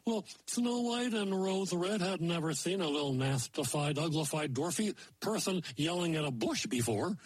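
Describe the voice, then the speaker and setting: A man's voice: on the high side, lively intonation, moderate pace, hardly any pauses, breathy edge. A man voicing a folksy male moose who tells a fairy tale in playful, mangled grammar with made-up words. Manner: playful, invents silly word forms. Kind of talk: oral folktale telling.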